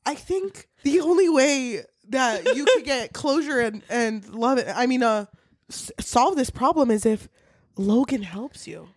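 High-voiced woman chatting playfully on a podcast. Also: unhurried, dry recording, clean studio sound. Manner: sweary, playful, animated retelling. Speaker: podcast co-host. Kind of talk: casual chat about books.

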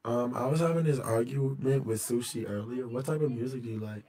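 A man's voice: medium pitch, a little fast, warm tone. A man chatting casually and teasingly in slang while playing an online game. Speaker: online gamer. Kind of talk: casual chat.

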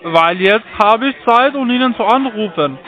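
High-pitched foreign-accented man on a muffled line. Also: expressive, soft background music. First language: not German. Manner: halting, ungrammatical German. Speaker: call centre phone salesman. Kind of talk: phone sales pitch.

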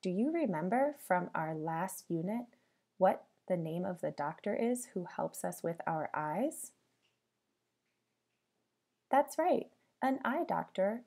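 A woman teacher reading a storybook aloud to young children, with a sing-song delivery, pausing to put questions to them. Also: on the low side, darkish tone.

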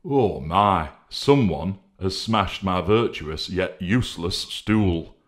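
An earnest, plain-spoken gentleman voices his shock a little above his normal tone, voiced by a man. His voice stays deep underneath the exclamations. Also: expressive, takes it slowly.

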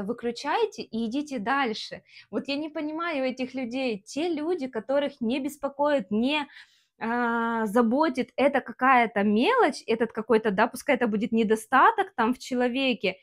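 A young Ukrainian woman in her twenties, a vlogger, talking frankly to the camera in her kitchen on a lapel mic, with a Ukrainian accent and lots of fillers. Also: on the high side. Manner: frank, ranting and gesturing, many fillers. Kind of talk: casual talk to camera. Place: home kitchen, indoors.

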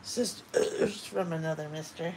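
A woman joining a home livestream, speaking quietly with offhand jokes. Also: deep voice, steady tone, unhurried, crowd noise. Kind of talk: casual chat.

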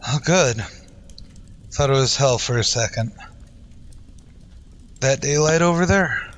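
Man acting as wounded western ranger, gritty, stubborn, bright forceful voice, radio drama. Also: medium pitch, takes it slowly. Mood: weak, dazed.

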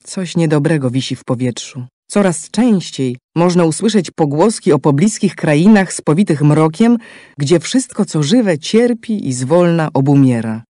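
A man narrating a scripted fantasy game story in a solemn, measured way. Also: a little high, lively intonation, mellow, no echo, studio quality. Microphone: close studio microphone.

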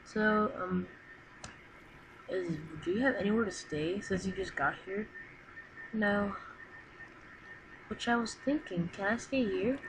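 A woman improvises dramatic roleplay for a gaming video in a halting, unhurried way. Her voice sits at a middling pitch. Clear noise and clicking run through the recording. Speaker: roleplay video creator voicing the characters.

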